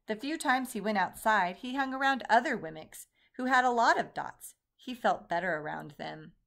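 A woman reading a children's picture book aloud in an expressive storytelling manner. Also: a little high, moderate pace, gravelly. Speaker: storybook reader.

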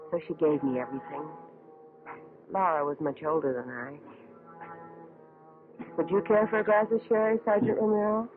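An actress playing a haughty, fretful American woman in her thirties, defensive under questioning, in an old-time radio drama. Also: low voice. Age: 30s. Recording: muffled tinny vintage radio, faint music.

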